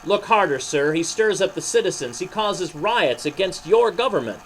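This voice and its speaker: A man reading a children's Bible story, playing a stern high priest who makes insistent, emphatic accusations. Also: lively intonation, moderate pace, slight background noise, rough recording. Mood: urgent, inciting.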